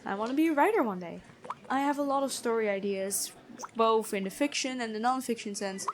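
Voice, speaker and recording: A woman playing a gentle but stern, bookish female prison guard in a fantasy ASMR roleplay, chatty and inquisitive. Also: fairly high pitch, fairly quick, noisy background, natural voice. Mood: chatty, wistful. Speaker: ASMR voice actor.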